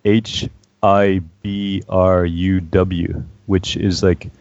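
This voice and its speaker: A man's voice: low voice, flat delivery, very slow, a little mellow, no echo, lo-fi sound. A man in a book club discussion, talking hesitantly with many fillers. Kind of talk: casual discussion.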